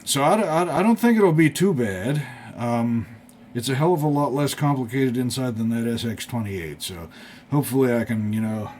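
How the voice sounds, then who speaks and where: A man with a fairly low voice talks through a hobby repair at his workbench. His delivery is casual and unscripted, folksy and tentative as he thinks aloud. Faint background noise sits behind him. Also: a little mellow. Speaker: host of a hobby electronics restoration video. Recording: clearly audible background noise.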